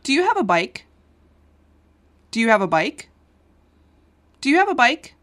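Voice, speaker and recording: A woman's voice: fairly high pitch, big pitch swings, measured pace, a bit bright. A woman reading English listening-test dialogue lines with careful, well-articulated delivery. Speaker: dialogue reader.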